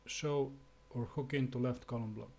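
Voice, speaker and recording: A man from Slovenia with a Slovenian accent, speaking English as a second language in a halting screencast tutorial with fillers and restarts. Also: mid-range voice, fairly even intonation, a little mellow. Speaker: web developer.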